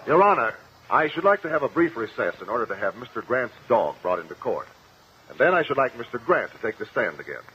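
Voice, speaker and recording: An actor playing a shrewd, courteous attorney in a 1950s radio courtroom drama, questioning in a measured, formal, insistent way. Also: male voice, medium pitch, big pitch swings, close to an everyday voice. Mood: courteous, assured. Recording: dull, lo-fi vintage radio recording.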